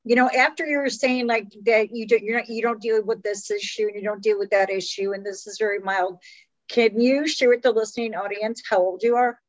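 A woman's voice: a little high, steady tone, fairly quick, dry recording, highs cut off. A woman in her late fifties hosting a casual health interview in a chatty, conversational way, often saying "you know". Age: late 50s. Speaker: host.

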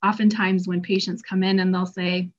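A woman's voice: lowish voice, level tone, normal speed. A middle-aged woman who works as a health practitioner, giving a conversational talk to patients about stress while losing her voice. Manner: conversational, acts out patient quotes. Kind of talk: informal health presentation. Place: Colorado. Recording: dull lo-fi recording.

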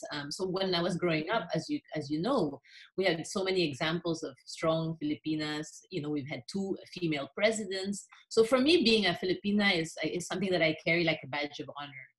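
A middle-aged woman from the Philippines speaks as an interview guest, her voice sitting low in pitch. She talks earnestly and enthusiastically, leaning on fillers as she goes. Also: rough recording. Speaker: podcast guest. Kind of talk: conversational interview.

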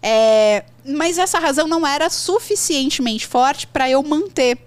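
A Brazilian woman in her thirties hosting a podcast, talking in an animated, chatty and slangy way. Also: high voice, unhurried, no room sound. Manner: animated, chatty, slangy, jumps between thoughts. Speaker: podcast host, English teacher. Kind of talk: casual podcast chat.

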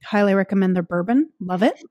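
A woman co-hosting a podcast in casual chat, cracking teasing deadpan jokes. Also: medium pitch, fairly quick, no echo, dull audio.

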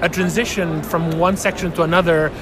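A man whose first language is Spanish, answering interview questions in a halting way with many fillers and restarts. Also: fairly high pitch, fairly even intonation, a crowd in the background. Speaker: interviewee, researcher.

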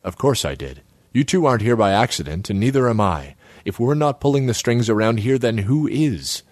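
Man voicing a frank older kiosk keeper, lively pitch. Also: brisk, no echo, clean studio sound, natural voice. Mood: insistent. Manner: plain-spoken, insistent.

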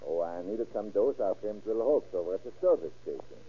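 An actor playing a shifty young small-time swindler in an old radio crime drama, hesitant and evasive, prone to stammering and chuckling. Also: male voice, fairly low pitch, lively intonation, quick pace, breathy edge. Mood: evasive, sheepish. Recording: tinny vintage radio recording.

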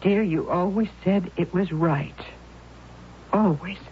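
A concerned aunt confiding in an old radio drama. She speaks slowly and gently in a mid-pitched voice, through a thin, phone-like recording. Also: slight background noise.

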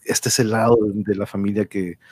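A man's voice: fairly low pitch, steady tone, a little fast, darkish tone, breathy edge, no room sound. A man with a Mexican accent hosting a casual family chat on a livestream, telling anecdotes in a chuckling, conversational way. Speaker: host.

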